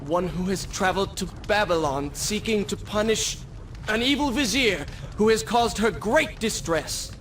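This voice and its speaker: An actor playing a proud, sardonic young Persian prince in a video game, with theatrical, formal delivery. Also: male voice, high-pitched, animated, measured pace, background noise. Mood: flattering, eager. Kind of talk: dramatic video game acting.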